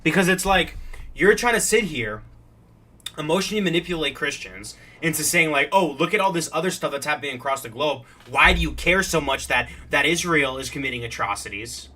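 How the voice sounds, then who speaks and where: Male commentator, higher-pitched and very forceful, casual, argumentative, profane. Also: lively intonation, fairly quick. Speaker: commentator reacting to a show clip.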